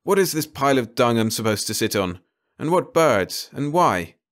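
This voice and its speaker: A man's voice: mid-range voice, very animated, moderate pace, fairly bright tone, voice pushed up. A man voicing a stubborn, independent, plain-spoken outlaw in an audiobook. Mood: bemused. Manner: plain, informal, terse. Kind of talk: audiobook character dialogue.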